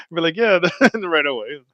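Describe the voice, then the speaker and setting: A man's voice: fairly high pitch, dry recording, dull audio. A man hosting a casual home podcast, rambling, swearing often and trailing off mid-sentence. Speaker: podcast host. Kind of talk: casual chat. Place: indoors at his home.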